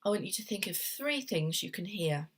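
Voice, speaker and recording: A young woman therapist with a cold, talking casually to camera at her desk at home, gentle and meandering with many ums and restarts. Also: mid-range voice, animated, moderate pace, background noise. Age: young adult. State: has a cold, sniffly. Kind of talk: casual self-help talk to camera.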